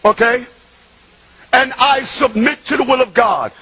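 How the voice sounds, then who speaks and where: Raspy, high-voiced New preacher. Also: male voice, a little slow, rough recording. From Bronx, New York. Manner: shifts from loud shouting to hushed, tearful murmurs. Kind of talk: impassioned sermon.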